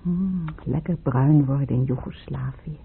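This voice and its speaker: A woman's voice: rumbling low, unhurried, vocal fry, heavily acted. An actress playing a dreamy, clinging, lonely married woman in an intimate radio drama. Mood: dreamy, longing. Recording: muffled phone-like old radio recording, noisy.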